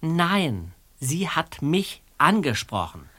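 A flustered young detective under questioning. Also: male voice, on the high side, big pitch swings, takes it slowly, fairly bright tone, husky, full character voice. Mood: defensive. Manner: defensive, flustered. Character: young male detective under interrogation, nervous. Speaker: suspect in a radio play. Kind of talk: radio play acting.

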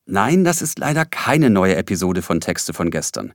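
A man presents a scripted podcast announcement as host. His mid-range voice is animated and recorded dry. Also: low voice, normal speed, dry recording.

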